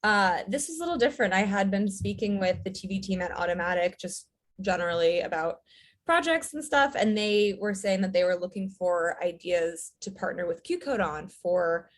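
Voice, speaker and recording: A woman in her thirties, a writer-director guest, chatting in a relaxed way with lots of "um"s. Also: medium pitch, normal speed. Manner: relaxed, fillers, light laughs. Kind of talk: casual interview chat.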